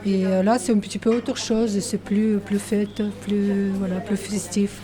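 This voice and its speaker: A woman from Ukraine, a volunteer, speaking heartfelt French with many pauses and fillers. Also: medium pitch, flat delivery, mellow. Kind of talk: interview answers. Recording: busy room with crowd chatter.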